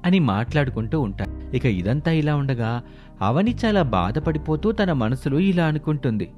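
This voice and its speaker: A man's voice: mid-range voice, sing-song, moderate pace, a little mellow, lo-fi sound. Man narrating a family story over music.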